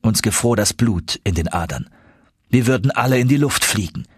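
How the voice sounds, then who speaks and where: A man narrating an audiobook story in an expressive, suspenseful, dramatic manner. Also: lowish voice, normal speed, breathy edge, dry recording, studio quality.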